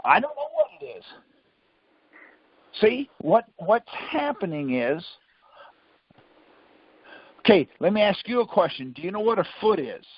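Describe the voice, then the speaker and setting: Higher-pitched, husky man quizzing insistently. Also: huge pitch swings, unhurried, long pauses, dry recording. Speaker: teacher leading a group conference call. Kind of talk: question-and-answer coaching. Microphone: conference phone call. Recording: muffled, lo-fi conference call audio.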